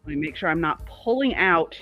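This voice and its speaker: A woman's voice: low voice, animated, brisk, muffled recording, soft background music. A woman co-hosting a livestream, chatting casually about crafts in a rambling, easily sidetracked way. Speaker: livestream co-host.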